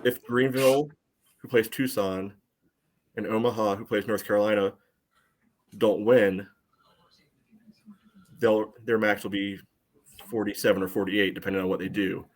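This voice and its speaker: A man's voice: lowish voice, fairly even intonation, moderate pace, long pauses. A man co-hosting a soccer podcast, talking through analysis in a casual, dry, wry way. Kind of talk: casual sports analysis chat.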